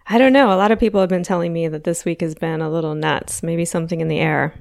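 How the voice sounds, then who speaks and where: A woman in her forties hosting her own podcast in a chatty, casual way, a little hoarse from a frog in her throat and laughing lightly between thoughts. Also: fairly low pitch, fairly even intonation, fast, darkish tone, dry recording, clean studio sound. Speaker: podcast host. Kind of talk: casual solo podcast talk.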